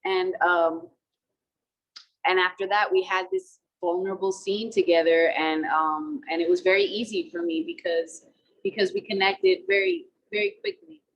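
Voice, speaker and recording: A woman's voice: on the low side, normal speed, lo-fi sound. A woman in her thirties, from the Dominican Republic, talking casually over a video call about her acting work, mixing Spanish and English with many fillers. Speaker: actress interviewed about a film.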